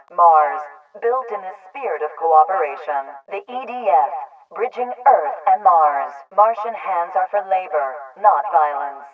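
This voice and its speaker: Distant, muffled female military loudspeaker announcer. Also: on the low side. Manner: formal, measured, official, impersonal. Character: authoritarian female military colony loudspeaker announcer, calm and stern. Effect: loudspeaker radio filter. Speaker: public address announcer. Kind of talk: scripted propaganda broadcast in a video game. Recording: muffled, band-limited distant loudspeaker broadcast.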